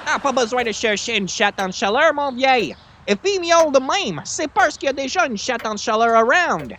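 A man's voice: very high voice, lively intonation, normal speed, bright, forceful tone, full character voice. A man playing an exasperated, folksy neighbour in a radio comedy, exclaiming in colloquial Quebec French peppered with English words. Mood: insistent. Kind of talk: 1940s-style radio comedy acting.

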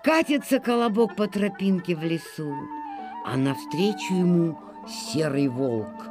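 A storyteller reading a folk tale for children in an unhurried, expressive way. The voice rises and falls a great deal. Music plays clearly underneath. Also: medium pitch. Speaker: narrator. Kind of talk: storybook narration. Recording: music playing behind.

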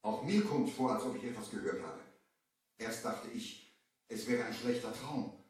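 A man's voice: medium pitch, normal speed, lightly acted. An actor playing a grave traveler who tells of his own ordeal, solemn and theatrical, in a radio play. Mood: troubled. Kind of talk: dramatic storytelling in a radio play. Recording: echoey distant old vinyl record.

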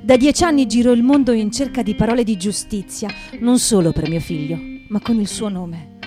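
A woman's voice: on the high side, normal speed, close to an everyday voice. A woman playing a sad retired primary teacher and mother seeking justice, in a radio theatre monologue. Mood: sad, resolute. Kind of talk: radio theatre monologue over guitar music. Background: acoustic guitar music.

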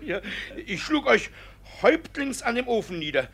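An actor playing a shifty, evasive village judge in a German radio play, stammering and blustering through stage verse in a raspy voice. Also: male voice, very high-pitched, sing-song, moderate pace, a bit bright, exaggerated voice. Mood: flustered, evasive. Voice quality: raspy, creaky voice. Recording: 1950s radio play recording.

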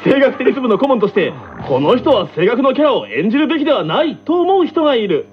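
A man hosting a radio talk show, reading out quiz questions in a lively, laughing manner. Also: extremely high pitch, fast. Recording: dull lo-fi radio recording with music behind.

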